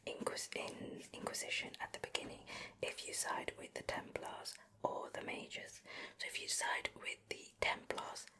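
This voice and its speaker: A woman hosting an ASMR show and tell in a soft breathy whisper, lingering over her words, close to the microphone from ear to ear. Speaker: ASMR video host. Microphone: close ear-to-ear stereo microphone.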